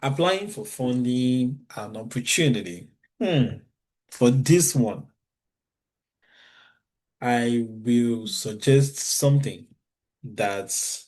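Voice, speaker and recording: A man with a Nigerian English accent and a fairly low voice talks informally, often pausing on fillers and restarting his sentences. He sounds like someone giving practical advice in a relaxed session. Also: low-quality recording. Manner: conversational, with frequent fillers and restarts. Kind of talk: informal talk.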